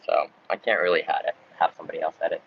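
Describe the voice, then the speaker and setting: A man, though his is Russian. He answers live chat questions in a relaxed, chatty way and laughs between answers. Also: low-pitched, very fast. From Ukraine, grew up in the United States. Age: 20s. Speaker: travel YouTuber. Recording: dull lo-fi sound with faint background noise.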